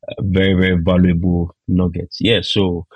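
A man co-hosting a book podcast, speaking in a halting way and repeating words as he thinks. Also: low voice, completely flat delivery, breathy edge, no echo. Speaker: podcast co-host. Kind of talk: casual book review chat.

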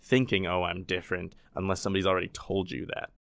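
A man co-hosts a relaxed conversation. His voice sits a little low, and he talks in a loose, chatty way with plenty of fillers. The sound is dry and close. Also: fast, gravelly, no room sound. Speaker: podcast co-host. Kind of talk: casual conversation.

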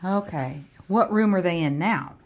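Woman doing a reading lesson w/ a kid, slow and lowish voice, exclaims a lot, asks coaxing questions. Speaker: reading tutor coaching a child. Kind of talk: casual, encouraging reading lesson. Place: indoors at a home dining table.

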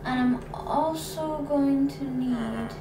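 A woman's voice: sits high, slow pace. A woman hosting a gaming video, chatting and thinking aloud as she plays. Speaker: gaming channel host. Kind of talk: Minecraft let's play commentary. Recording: echoey room, distant mic, loud noise.

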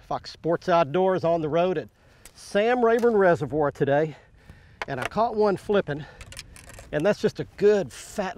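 A middle-aged man hosting a fishing show from a bass boat on a lake, talking in a folksy, enthusiastic way. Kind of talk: outdoor show presenting.

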